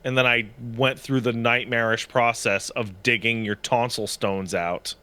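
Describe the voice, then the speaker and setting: A man co-hosting a podcast in casual chat, sniffly, telling stories in an animated way with lots of swearing. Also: medium pitch, unhurried, clean tone. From Canada. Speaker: podcast co-host.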